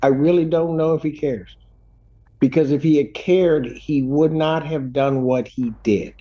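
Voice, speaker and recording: A man's voice: on the high side, fairly even intonation, a little slow, mellow. A man speaking as a judge, scolding someone sternly in plain, unscripted words. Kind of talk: unscripted courtroom admonishment. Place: courtroom. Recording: muffled courtroom audio.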